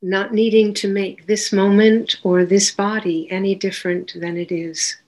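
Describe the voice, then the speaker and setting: Woman gently guiding a meditation. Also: mid-range voice, level tone. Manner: gentle, unhurried instructions with long pauses. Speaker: meditation teacher. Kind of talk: guided meditation.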